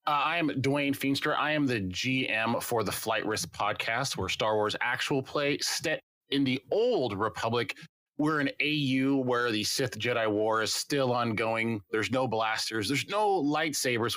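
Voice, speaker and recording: Bright, dry-sounding man chatting casually. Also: medium pitch, animated, normal speed, dry recording. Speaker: podcast game master, player here. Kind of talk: casual chat.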